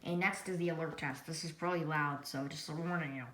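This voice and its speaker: An American woman giving a casual hands-on product demonstration, halting and often trailing off to restate herself. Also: low-pitched, steady tone. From United States. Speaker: product reviewer. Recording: echoey room, loud noise, clicks.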